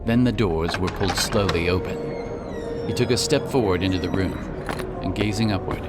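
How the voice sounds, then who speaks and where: Low-voiced male narrator over loud music. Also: normal speed. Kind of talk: scripted fantasy audiobook narration. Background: prominent background music.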